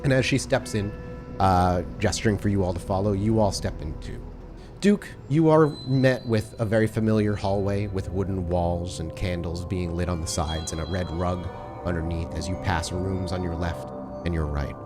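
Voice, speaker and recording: A man with a low-pitched voice runs a tabletop horror game as storyteller. He describes scenes in detail as he improvises them. Quiet music sits behind his voice. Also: steady tone, moderate pace.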